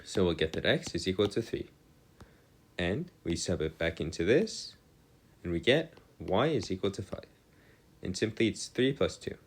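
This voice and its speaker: A man's voice: sits low, expressive, normal speed. A man giving an informal maths lecture as a tutor, explaining in formal wording and often correcting himself as he goes. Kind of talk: informal lecture working through equations.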